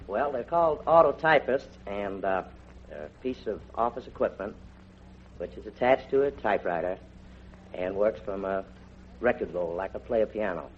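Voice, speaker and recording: On a muffled, faintly noisy vintage radio recording, an American man from New York speaks in a fairly low voice. He is a quiz contestant who keeps to short, plain answers, polite and understated. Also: expressive, normal speed. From New York, United States. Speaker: quiz show contestant, salesman. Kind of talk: brief, plain answers in an on-air interview.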